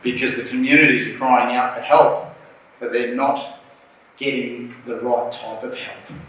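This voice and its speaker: A man's voice: mid-range voice, a bit bright. An Australian man answering on a conference panel, blunt, plain-spoken and urging. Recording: echoey hall, distant mic, faint noise.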